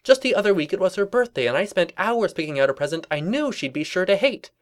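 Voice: medium pitch, very animated, fast talker, pitched above the performer's own voice, close to an everyday voice. A performer voicing an indignant young female cartoon pony revolutionary, declaiming and snapping defensively in a story reading.

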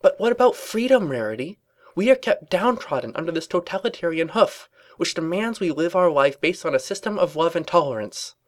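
A man's voice: high voice, sing-song, drawn-out words, hardly any pauses, fairly bright tone, no echo, studio quality, pitched above the performer's own voice, heavily acted. A man voicing a paranoid, secretly rebellious female pony office worker in an urgent, melodramatic story reading. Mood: agitated, pleading.

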